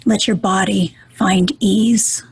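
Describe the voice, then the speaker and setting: Slow, breathy woman guiding meditation. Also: mid-range voice, fairly even intonation, no echo. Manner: gentle, soothing, deliberate with pauses. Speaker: meditation guide.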